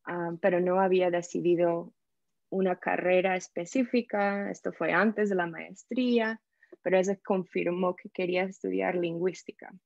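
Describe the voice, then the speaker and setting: A woman from the United States with an American English accent, a podcast guest telling her story in a lively way and slipping English words into her Spanish. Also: on the low side, moderate pace, gravelly, no room sound, highs cut off. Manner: animated, acts out quoted voices, mixes English words into Spanish. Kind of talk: casual interview storytelling.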